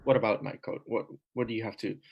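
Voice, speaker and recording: A man's voice: lowish voice, flat delivery, fast, husky, lo-fi sound. A man reading the part of a defensive, sulky young man in an audition scene, grumbling and hesitant.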